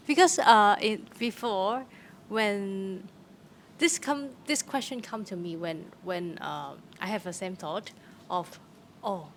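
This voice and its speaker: Slow, halting Hong Kong woman. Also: mid-range voice, a bit bright. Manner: halting, many fillers and restarts. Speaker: theatre practitioner. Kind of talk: conversational interview answer. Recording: faint background noise.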